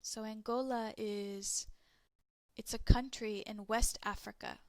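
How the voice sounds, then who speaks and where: A woman teacher talks her students through a lesson at a slow, careful pace. Her voice sits a little high. The recording is close and dry, with no room sound. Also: fairly even intonation, a little mellow, no echo. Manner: slow, clear teacher talk explaining step by step. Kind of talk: recorded class lesson for English learners.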